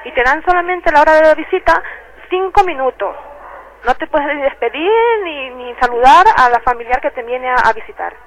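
A woman's voice: sits high, a bit bright. A woman with an Ecuadorian accent giving personal testimony over the telephone in a radio interview. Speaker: detainee giving testimony by phone. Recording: muffled, noisy telephone line.

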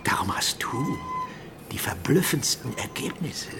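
An actor in a German radio drama playing an encouraging scientist mentor who speaks with hushed, coaxing intensity. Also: male voice, mid-range voice, sing-song, a little slow, creaky, noisy background.

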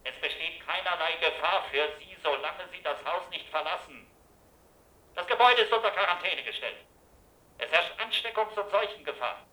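Cold quarantine officer in a radio play, curt commanding guy, hard bright voice with echo. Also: sits high, expressive, normal speed. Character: stern male health service officer enforcing quarantine. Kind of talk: German radio drama announcement. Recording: sharp, echoing radio drama announcement.

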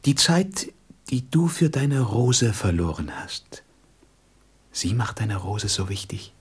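An actor playing a gentle, wise and wistful fox in a radio play, speaking tenderly and deliberately and often dropping into whispers. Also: male voice, on the low side, full character voice. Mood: solemn, wise. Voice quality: husky, breathy voice that drops into whispers. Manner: deliberate, expressive, tender storybook delivery.

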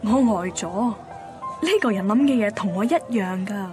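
A woman's voice: medium pitch, normal speed, over music, close to an everyday voice. An actress playing a sentimental, timid young woman photographer, narrating a radio drama introspectively in Hong Kong Cantonese. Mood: self-conscious. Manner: introspective, colloquial storytelling.

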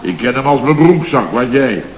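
A man's voice: mid-range voice, lively intonation, normal speed, lightly acted. An actor playing a self-important, muddled male contractor boss in a vintage radio comedy, blustering and stammering as he repeats himself. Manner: pompous, repetitive, stammering bluster. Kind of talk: 1970s radio comedy acting. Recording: roomy, muffled, noisy old radio recording.

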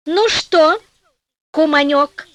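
A woman's voice: sits high, very slow, fairly bright tone, dry recording, voice pushed up, exaggerated voice. A woman voicing a cocky, penniless nobleman in a storybook tale, with a theatrical, mock-courteous and taunting manner. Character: cocky, penniless nobleman, polite and cunning trickster.

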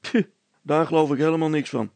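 A man voicing a suspicious, grumbling river skipper in an audiobook story, calling out loudly. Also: on the high side, steady tone, brisk, creaky, no room sound, pitched above the performer's own voice. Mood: scornful, suspicious. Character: suspicious, gruff Dutch river barge skipper, shouting.

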